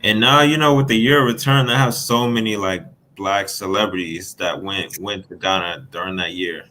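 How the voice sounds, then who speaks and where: A male host with a low voice and a bright, forceful edge, talking in a casual interview. He backs up his guest with steady agreement and adds his own thoughts. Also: normal speed, low-quality recording. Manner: affirming, with many interjected agreements.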